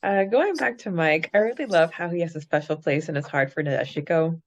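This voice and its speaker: A woman's voice: low voice, a little fast. A woman co-hosting a casual fan podcast, enthusiastic and giggly, on a dull lo-fi microphone. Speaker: podcast co-host.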